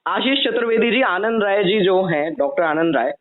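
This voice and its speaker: High-pitched male news host. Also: animated, normal speed, phone-quality sound. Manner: emphatic, rhetorical questioning. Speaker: news show host interviewing a guest. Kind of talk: news interview presenting.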